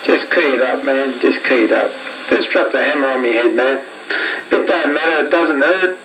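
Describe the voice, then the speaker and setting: A man's voice: medium pitch, moderate pace, crowd noise, rough recording. An Australian man in casual, slangy CB radio banter, heard as a radio transmission. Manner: slangy, playful radio patter. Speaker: amateur CB radio operator. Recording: CB radio with music behind.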